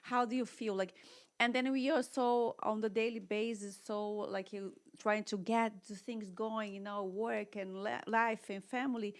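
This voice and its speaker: Podcast host, woman, Brazilian accent, Portuguese native, searching for words in English. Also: medium pitch. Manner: halting, rephrasing questions mid-sentence. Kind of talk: interview questions.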